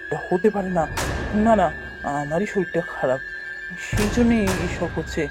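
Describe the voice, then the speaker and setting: A man's voice: high-pitched, normal speed, natural voice. Man playing a jumpy, anxious husband with a guilty secret. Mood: frightened, uneasy. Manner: anxious, exclamatory, repeats words. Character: guilty, jumpy married male writer in ghost story. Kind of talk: horror cartoon voice acting. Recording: music behind the voice.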